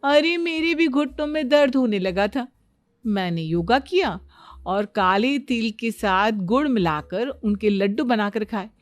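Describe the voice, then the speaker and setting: A woman's voice: fairly high pitch, expressive, a little slow, no echo, faint music behind. A woman voicing an eager, boastful young daughter-in-law in a cartoon family story, insistent and keen to explain everything. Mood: confident, advising.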